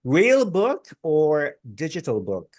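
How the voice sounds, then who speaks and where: An effusive male host chatting over a video call, his pitch swinging widely as he talks. The sound is dry, with almost no room around it. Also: wildly swooping pitch, a little mellow, no echo. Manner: effusive, quick to praise and laugh. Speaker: interview host. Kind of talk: casual interview chat.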